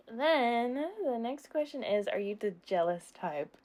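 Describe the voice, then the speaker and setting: A woman's voice: on the high side, expressive, takes it slowly, darkish tone. Casual, self-deprecating young woman chatting. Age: young adult. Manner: informal, hedging, self-deprecating. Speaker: ASMR roleplay creator answering subscriber questions. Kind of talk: casual Q&A chat.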